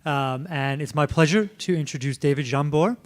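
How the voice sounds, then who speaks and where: A man hosting a conference track, introducing the next speaker in a halting way with many fillers. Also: on the high side. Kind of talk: event introduction.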